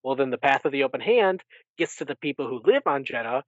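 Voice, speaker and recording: A man podcast host giving a casual recap in an animated storytelling way. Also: mid-range voice, fast, dry recording, lo-fi sound.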